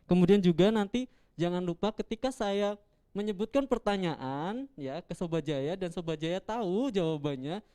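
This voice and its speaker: A man's voice: high voice, dark tone, smooth. A young man hosting a live-streamed campus talk show, chatty and joking, laughing often.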